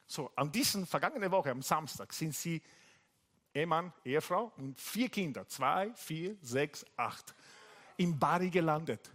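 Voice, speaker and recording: A male pastor from Italy preaching in German with an Italian accent, telling a story in a lively way and jumping much higher in pitch when he quotes people. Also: unhurried. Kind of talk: sermon with anecdote. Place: church service.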